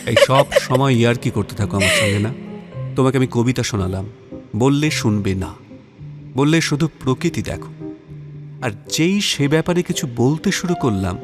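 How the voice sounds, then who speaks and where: A romantic poet played by a man in an audio drama, tender and playful. His voice sits at a medium male pitch and moves in lyrical, theatrical phrases, with music underneath. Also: lively intonation, natural voice. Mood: mock-aggrieved. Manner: lyrical, drawn-out phrasing, teasing. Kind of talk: Bengali audio drama with poetry recitation. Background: background music.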